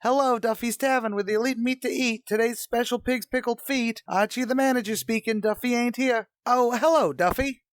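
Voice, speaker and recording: A man's voice: extremely high pitch, normal speed, hardly any pauses, slight background noise. A man playing a slangy, blustery, scheming New tavern manager in an old-time radio comedy, mangling his grammar as he wisecracks. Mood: breezy, defensive.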